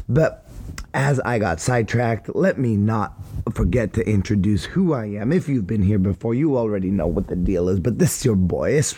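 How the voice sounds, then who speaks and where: A man hosting a casual solo podcast, rambling with hype-man banter and a slightly hoarse voice after a wild weekend. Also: fairly low pitch, warm tone. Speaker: podcast host.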